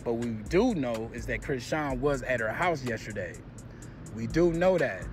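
American guy chatting gossip, mid pitch voice, opinionated, music going in the back. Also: expressive, moderate pace. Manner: conversational, opinionated. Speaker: gossip video commentator. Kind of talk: casual gossip commentary.

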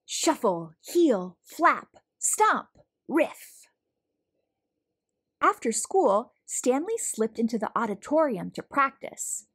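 A woman reading a children's story aloud with expressive, deliberate phrasing. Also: a little high, breathy edge. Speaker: storybook reader, host. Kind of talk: children's read-aloud narration.

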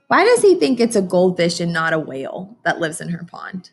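Animated young woman teaching small children. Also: lowish voice, moderate pace, husky. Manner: questioning, explaining to children. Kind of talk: read-aloud lesson for young children. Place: classroom, indoors.

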